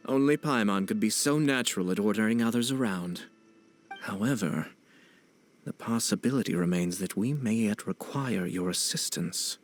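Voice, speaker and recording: An actor playing a poised young nobleman in a video game, speaking in a formal, measured, politely wry way. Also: fairly low pitch, lightly acted. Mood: amused. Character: poised young nobleman, courteous, composed and subtly teasing. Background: background music.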